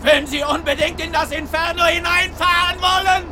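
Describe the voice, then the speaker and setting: An actor playing a frantic man fleeing a disaster in a radio play, shouting warnings in a strained voice. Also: very high-pitched, fairly even intonation, normal speed, a little hiss or hum, full character voice. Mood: panicked, urgent.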